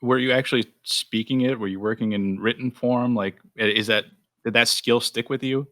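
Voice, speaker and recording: A man's voice: mid-range voice, steady tone, normal speed, bright, forceful tone, no room sound. A man from Brazil who grew up speaking Portuguese, hosting a podcast interview with friendly, curious questions. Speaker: podcast host.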